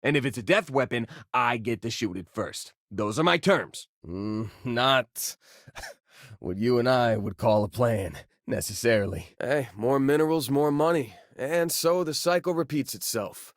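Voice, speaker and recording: An actor playing an easygoing young space miner in a video game, with a casual, joking way of talking. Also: male voice, medium pitch, expressive, unhurried, no room sound, clean studio sound, close to an everyday voice. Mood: casual, wry. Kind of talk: video game companion voice lines.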